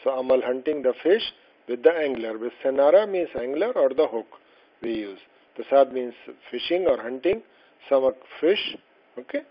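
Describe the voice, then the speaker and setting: Male language tutor, not a native speaker of Arabic, mid pitch, patient and halting with fillers, dull lo-fi voice-over. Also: animated. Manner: slow, patient explaining with many fillers and restarts. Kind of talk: explaining a textbook lesson. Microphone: voice-over over slides.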